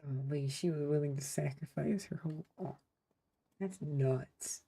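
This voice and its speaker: Low-voiced laid-back gaming streamer woman. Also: a little slow, warm tone. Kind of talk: casual gameplay commentary.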